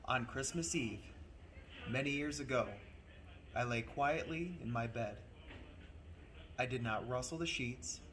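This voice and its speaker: A man reading a children's story aloud in an expressive, deliberate, theatrical way as its host. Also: medium pitch, a little hiss or hum, low-quality recording. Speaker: storybook reader playing a train conductor host.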